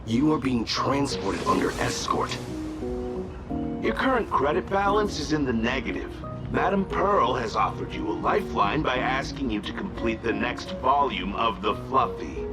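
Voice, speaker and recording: A man's voice: medium pitch, big pitch swings, moderate pace, over loud music, close to an everyday voice. A man voicing a stern, businesslike escort in a video game, speaking formally and precisely in a matter-of-fact way. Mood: stern, official.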